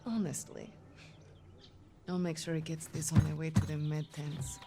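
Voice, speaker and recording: An actress playing a defiant, wry young Soviet army nurse in a video game cutscene. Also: female voice, low-pitched, level tone, moderate pace, over loud music. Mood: teasing. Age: young adult. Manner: wry, teasing.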